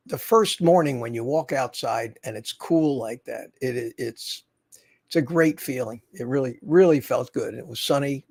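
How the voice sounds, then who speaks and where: A man's voice: mid-range voice, darkish tone, no echo. A man in his seventies hosting a casual livestream, chatting in a rambling, folksy way and answering viewers. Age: 70s. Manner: rambling, folksy, reads viewer comments aloud. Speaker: livestream host. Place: his home in Florida.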